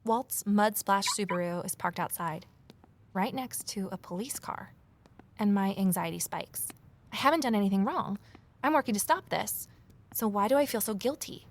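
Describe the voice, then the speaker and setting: An actress voices a worried, determined woman investigating a mystery in an audio drama. Her voice sits at a middle pitch with a noticeable gravelly creak. She sounds earnest and inward-looking, in a naturalistic style. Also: normal speed, natural voice. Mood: brooding, anxious. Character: Evelyn, anxious, determined amateur sleuth woman. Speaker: lead character and narrator.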